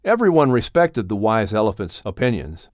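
A man's voice: lowish voice, big pitch swings, moderate pace, darkish tone, no room sound, studio quality. A man narrating an audiobook story expressively, in a dramatic and earnest manner.

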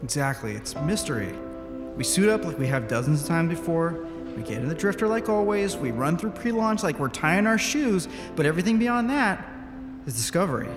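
Excited explorer scientist, swingy high-ish voice, casual, music and reverb. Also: male voice, a little fast. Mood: persuasive. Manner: animated, informal. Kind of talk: audio drama acting. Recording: long reverb, music behind.

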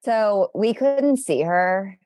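A woman's voice: medium pitch, lively intonation, slow, darkish tone, no room sound, studio quality. A woman co-hosting a podcast, talking in casual, conversational banter. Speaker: podcast co-host.

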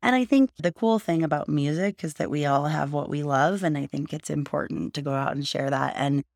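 A sleepy, hoarse woman in her thirties hosting a podcast, rambling in a chatty way and circling back to her point. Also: low-pitched, brisk, darkish tone, no echo, studio quality. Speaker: podcast host. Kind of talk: casual solo podcast talk.

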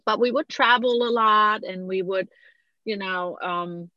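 A woman's voice: mid-range voice, measured pace, bright, forceful tone, dry recording. Woman, forties, from Germany, podcast guest, conversational storytelling, dry close sound, slightly dull audio. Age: 40s.

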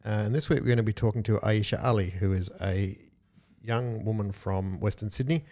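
A man's voice: fairly low pitch, fairly even intonation, fairly quick, mellow. A man hosting a radio interview, friendly and a bit hesitant, with frequent restarts. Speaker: radio host.

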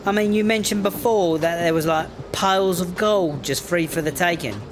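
A man's voice: high-pitched, normal speed, faint music behind, natural voice. A man playing a brash, foul-mouthed English smuggler in a sci-fi comedy audio drama, sardonic and slangy. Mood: sly, probing.

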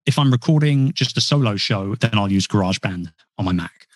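A man's voice: fairly low pitch, lively intonation, a little fast, no room sound, studio quality. A man chatting as a podcast guest, rambling and self-deprecating with frequent restarts. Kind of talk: casual interview talk. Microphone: podcast mic.

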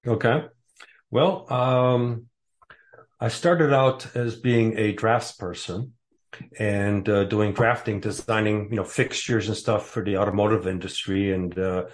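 A man in his sixties with a lowish voice answers interview questions in a relaxed, casual way. He pauses often and fills gaps with uh and um. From Metro Detroit area, USA. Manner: hesitant, many uh and um fillers. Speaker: guest author.

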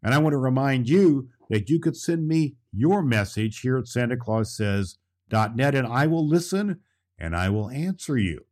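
A man's voice: mid-range voice, animated, moderate pace, darkish tone, close to an everyday voice. Man playing jolly Santa Claus, swooping intonation. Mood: jolly, earnest. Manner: theatrical, emphatic, addresses children directly. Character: Santa Claus, jolly old storyteller for children. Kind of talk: children's storytelling and friendly show hosting.

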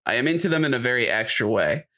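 A man's voice: mid-range voice, very animated, fast, sharp tone. A man co-hosting a podcast, chatting casually in a rambling, slangy way. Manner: rambling, slangy, frequently saying "like". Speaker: podcast co-host. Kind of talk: casual chat.

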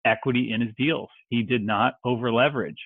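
A man from Texas speaking as a real estate investor guest on a podcast, relaxed and joking. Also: medium pitch, fairly quick, slightly rough, no room sound, narrow, tinny audio. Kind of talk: podcast interview.

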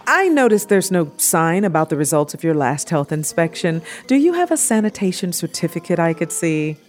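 A woman's voice: lowish voice, big pitch swings, moderate pace, soft background music. An actress playing a practical, fussy young city woman in audio fiction, earnest and casual in manner. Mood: skeptical, fussy.

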